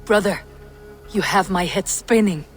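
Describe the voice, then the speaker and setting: A woman's voice: mid-range voice, unhurried, faint music behind, close to an everyday voice. A woman playing a blunt, skeptical Viking warrior in a video game, challenging but loyal. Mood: resolute. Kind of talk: dramatic video game acting.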